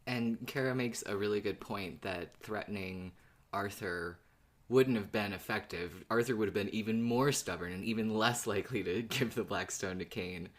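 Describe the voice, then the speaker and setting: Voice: low-pitched, normal speed. Podcast cohost just chatting casually about fan stuff. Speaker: podcast co-host.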